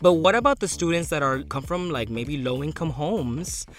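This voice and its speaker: A man's voice: a little high, animated, normal speed. A man co-hosting a casual radio show, chatting with playful banter and slipping into Spanish. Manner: bilingual banter, switching to Spanish. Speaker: co-host.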